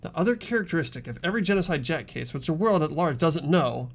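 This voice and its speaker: A man's voice: a little high, expressive, fast. A man voicing an earnest, thoughtful teenage boy detective, tentatively reasoning in an amateur game dub. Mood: explanatory.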